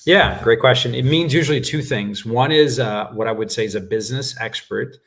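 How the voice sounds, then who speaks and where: A man whose first language is Portuguese, calmly explaining his work as a guest in a business interview, with frequent fillers. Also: medium pitch. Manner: measured explanations with frequent "uh" and "right?". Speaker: guest executive.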